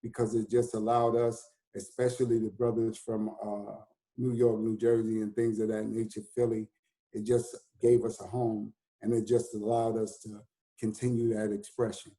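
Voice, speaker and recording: An American man in his fifties talks through his memories in an easy, unhurried way. His voice is mellow and warm, and he laughs easily. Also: lowish voice, level tone, poor audio. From United States. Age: 50s. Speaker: DJ and hip house artist. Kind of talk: casual conversation.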